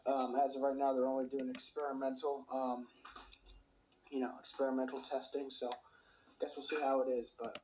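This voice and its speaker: A man's voice: medium pitch, little pitch movement, moderate pace, a little mellow, slight background noise, poor audio. A man giving casual video commentary and reading announcements aloud. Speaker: video host.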